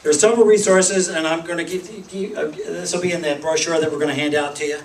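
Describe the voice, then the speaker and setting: A man's voice: a little high, fairly even intonation, fast talker. A man giving an informal talk to a meeting, with many restarts and emphatic lists. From Pennsylvania, United States. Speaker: speaker at a group meeting. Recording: echoey room, voice from a distance.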